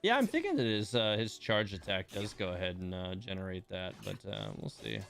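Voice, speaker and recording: A man's voice: sits low, huge pitch swings, a little fast, gravelly, a bit bright. A man hosting a game livestream, chatting casually in a rambling, self-deprecating way. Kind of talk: casual livestream chat while playing a game.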